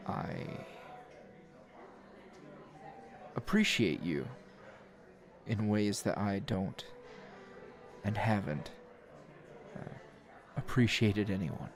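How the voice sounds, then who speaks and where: A man playing a tired, gruff, dryly teasing hero schoolteacher in an ASMR roleplay, speaking low and gravelly in a halting, understated way. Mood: tender, hesitant. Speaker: voice actor.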